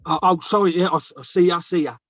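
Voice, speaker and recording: A man's voice: high voice, quick pace, dry recording, lo-fi sound. A man acting a flustered middle-aged dredger lookout in a courtroom play, stammering, repetitive and muddled. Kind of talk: table read of a courtroom play.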